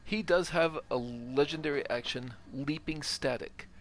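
A man's voice: fairly high pitch, measured pace, a bit bright, a little hiss or hum. A man giving casual, methodical gameplay commentary, thinking aloud and reading out stats. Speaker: gaming video host. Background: game ambience.

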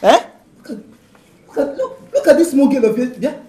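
A woman's voice: sits high, very animated, moderate pace, dark tone. An actress with a Nigerian accent playing an indignant, scornful officer in a comedy-drama, scolding and repeating words as she stammers. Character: indignant, scornful government officer in compound quarrel. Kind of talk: screen comedy-drama acting.

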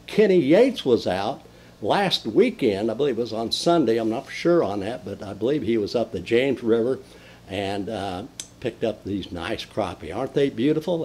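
An older man hosting a folksy fishing report from a den, talking with lively enthusiasm and frequent fillers and restarts. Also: fairly low pitch, husky. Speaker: fishing show host. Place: indoor den with mounted fish on the wall.

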